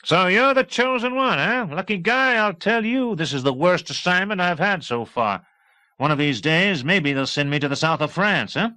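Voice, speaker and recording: A cocky, chummy American chopper pilot in an audiobook novel, acted out by a male narrator. He pitches his voice well up and swings it around through breezy, slangy banter. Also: lively intonation, normal speed, bright, forceful tone, smooth, dry recording. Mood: cocky, jovial.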